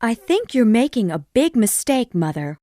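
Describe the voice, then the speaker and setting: A man reading a story aloud voices a firm young woman scolding her brother and her mother, sharp and insistent. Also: very high voice, big pitch swings, moderate pace, no room sound, studio quality, pitched above the performer's own voice. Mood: reproachful.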